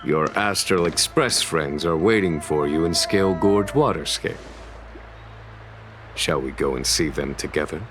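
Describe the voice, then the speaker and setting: An actor playing a courteous, weary general in a video game, speaking in a formal, measured, wistful way. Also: male voice, rumbling low, over music. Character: weary, courteous, calmly authoritative male general of long life. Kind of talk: dramatic video game voice acting.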